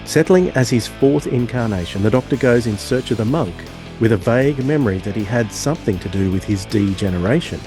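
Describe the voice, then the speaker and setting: A man's voice: mid-range voice, animated, fairly quick. A man co-hosting a podcast, talking conversationally and reading out prepared text. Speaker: podcast co-host. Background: acoustic guitar music.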